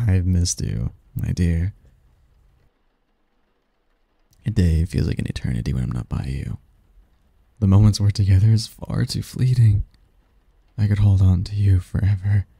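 A man plays a possessive male demon lover in a romantic ASMR roleplay. His voice is low and very mellow, with a gravelly creak. He speaks with teasing tenderness and old-fashioned courtliness. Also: no echo, exaggerated voice. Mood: adoring, longing.

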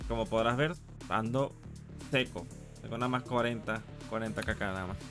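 A man's voice: fairly low pitch, moderate pace. A man chatting casually on a live game stream, slangy and sweary as he gripes like a regular player. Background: music.

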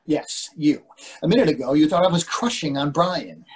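A man's voice: on the high side, moderate pace, breathy edge, low-quality recording. An older man reading the part of an anxious, bossy husband in a stage play, fretful and full of questions. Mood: needling, worried. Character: anxious, bossy, needling husband in long marriage. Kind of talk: readers theatre play reading. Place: indoors on a video call.

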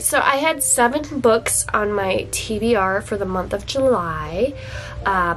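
A woman's voice: medium pitch, low-quality recording. Young woman, stuffy congested voice, chatty book vlogger, faint background hiss. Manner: chatty and gushing, with laughs and fillers. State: congested from a cold. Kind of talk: casual chat to camera. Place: dim indoor room.

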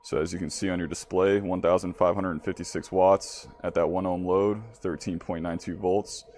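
A man's voice: low-pitched, level tone, moderate pace, darkish tone, slight background noise. A man narrating a product demonstration casually, speaking informally and straight to viewers as the presenter. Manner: informal, addressing viewers directly.

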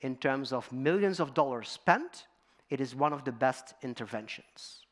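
A man's voice: mid-range voice, animated, normal speed, a bit bright. A middle-aged man from Belgium giving a storytelling conference talk in a large auditorium, addressing the audience with fillers. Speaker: guest speaker.

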